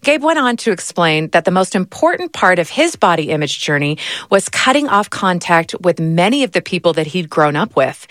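A woman hosting a podcast, narrating a scripted story in a measured, earnest way. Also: fairly low pitch, fairly bright tone, no room sound, clean studio sound.

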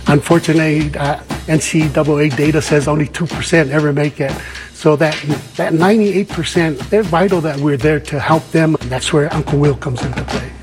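A man from Samoa speaks as a career advisor in a personal interview style. His voice sits fairly high and he sounds earnest and avuncular. Drum-heavy music plays clearly behind him. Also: steady tone, brisk. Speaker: career advisor for college athletes. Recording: music under the voice.

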